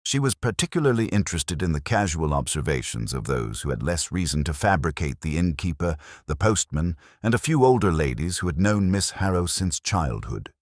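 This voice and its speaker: A male audiobook narrator with an extremely low voice tells a mystery in a measured, formal way. His pitch moves in lively arcs, and the sound is dry and close, without room echo. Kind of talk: scripted mystery story narration.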